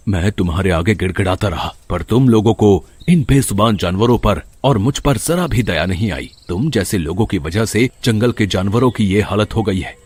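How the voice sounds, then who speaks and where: A man voicing a vengeful young man's ghost in a bear's body for an animated story, menacing and accusatory. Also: on the low side, moderate pace, hardly any pauses, husky. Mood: bitter, enraged. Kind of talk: dramatic Hindi animated story acting.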